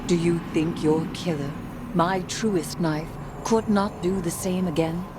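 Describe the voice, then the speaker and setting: A woman playing a grave, resolute dark sorceress in a video game, proud and formal, with solemn, deliberate delivery. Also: lowish voice, fairly even intonation, background noise, close to an everyday voice. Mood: proud, challenging. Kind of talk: dramatic video game dialogue.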